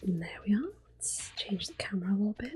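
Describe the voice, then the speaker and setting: A woman hosting a relaxing game playthrough, talking mostly in a gentle, unhurried whisper with small exclamations here and there. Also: mid-range voice, lively intonation, slight background noise. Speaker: gaming ASMR host. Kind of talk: soft whispered game commentary.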